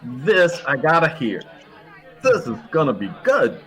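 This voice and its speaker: A man's voice: a little high, huge pitch swings, a little slow, fairly bright tone, husky, some chatter behind, exaggerated voice. A man playing a brusque, wry bartender in a radio comedy. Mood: amused, wry. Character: dry, wry male bartender.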